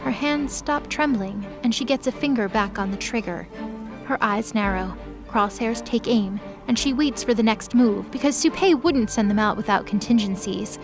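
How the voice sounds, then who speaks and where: Woman narrator reading a dramatic story, highish voice, music behind her. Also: normal speed.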